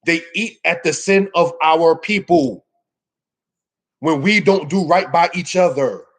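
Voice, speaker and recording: Higher-pitched man preaching a scripture lesson. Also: takes it slowly. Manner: exhorting, emphatic, with rhetorical questions. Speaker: religious teacher giving a scripture lesson. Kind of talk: sermon, informal Bible teaching addressed to a congregation.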